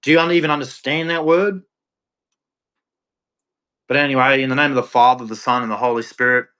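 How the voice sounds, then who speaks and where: A man's voice: medium pitch, steady tone, a little fast, pushed and bright, smooth. An Australian man streaming a blunt, confrontational rant to his chat, ending with a preachy religious sign-off. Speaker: live streamer. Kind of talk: ranting monologue to chat.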